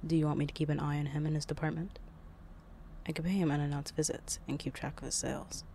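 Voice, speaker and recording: Composed, loyal second-in-command, acted out, creaky mid-range voice, formal, slight background noise, audio drama. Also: mid-range voice, flat delivery, quick pace, warm tone, natural voice. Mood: composed, helpful. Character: loyal, composed, efficient right-hand assistant to a boss. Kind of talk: audio roleplay acting.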